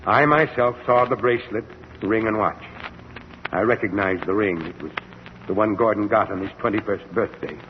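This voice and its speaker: An actor playing an earnest, insistent middle-aged doctor and concerned old friend in an old-time radio drama. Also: male voice, fairly low pitch, moderate pace, close to an everyday voice. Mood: grave, persuasive. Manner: solicitous, probing, emphatic. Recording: dull reverberant vintage radio recording, faint noise.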